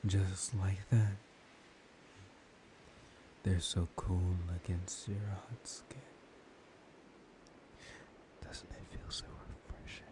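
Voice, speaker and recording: A man playing a dominant, seductive vampire in a soft-spoken ASMR roleplay, slow and teasing, often slipping into whispers. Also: low-pitched, poor audio. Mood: soothing, predatory. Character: dominant, seductive male vampire hypnotizing his captive lover. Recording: steady background hiss.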